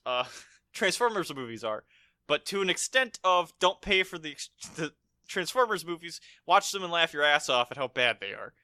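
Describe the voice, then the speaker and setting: A man co-hosting a casual podcast, blunt, argumentative and quick to swear. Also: on the high side, big pitch swings, pushed and bright. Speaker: podcast co-host. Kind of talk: casual chat, movie review.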